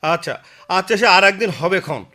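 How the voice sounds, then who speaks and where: A man's voice: sits high, animated, moderate pace, pushed and bright. A man acting as a simple-minded, jovial village elder brother in a Bengali radio drama, eager, teasing and repeating himself. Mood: teasing, excited.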